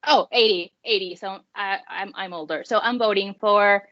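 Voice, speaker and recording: A woman's voice: medium pitch, normal speed, no room sound, highs cut off. A woman in her forties from Mexico and the USA, chatting and joking casually as a podcast guest, slipping between English and Spanish. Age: 40s. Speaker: podcast guest, soccer fan. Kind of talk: casual chat in Spanglish.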